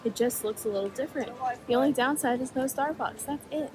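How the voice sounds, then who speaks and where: A woman's voice: high-pitched, fast, over music. A woman vlogging in a chatty, upbeat way, her voice a little worn. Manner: chatty, upbeat, talks straight to camera. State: slightly losing her voice. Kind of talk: casual vlog narration.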